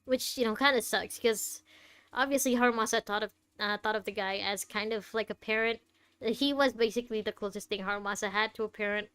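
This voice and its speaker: A woman's voice: medium pitch, steady tone, fairly quick, a bit bright, smooth, no echo. A woman giving casual live playthrough commentary, thinking aloud in a wry, opinionated way. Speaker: game streamer. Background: game dialogue and music.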